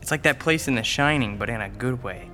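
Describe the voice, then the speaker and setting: An actor as a glib boyfriend in his twenties in a radio drama, forceful and bright in tone. He is eager and quick to defend himself with a joke, with faint music behind him. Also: medium pitch, close to an everyday voice. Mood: impressed, breezy. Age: 20s. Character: eager, defensive, wisecracking young would-be comedian boyfriend. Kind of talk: naturalistic audio drama dialogue.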